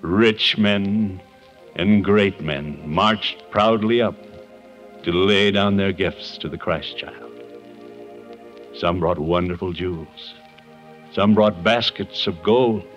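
An American actor in 1950s radio style playing a kindly, sheepish middle-aged man who tells a Christmas story expressively and unhurriedly. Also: fairly low pitch. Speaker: lead actor of a radio comedy. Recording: dull vintage 1950s radio recording.

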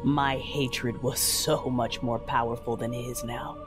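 A woman's voice: extremely low pitch, fairly even intonation. Woman acting a cold, vengeful Sith mother over music. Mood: cold fury. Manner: measured, solemn, menacing. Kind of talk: dramatic first-person scripted narration.

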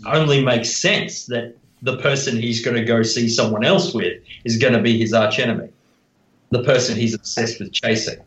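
Male, mid-pitched. Conversational film-podcast guest, informal delivery, clearly roomy, echoing recording. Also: moderate pace, a bit bright. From Australia. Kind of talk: casual film discussion. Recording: echoey, roomy sound.

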